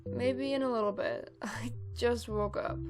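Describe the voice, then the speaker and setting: Woman as high-voiced, reluctant young cartoon pony. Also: fairly even intonation, measured pace, over music. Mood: groggy, reluctant. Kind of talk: animated fan drama voice acting.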